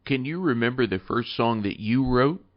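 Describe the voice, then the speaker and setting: A male interview host with a mid-pitched voice and very lively intonation. He speaks in a formal, prepared way, and the audio is slightly dull. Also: moderate pace, noisy background.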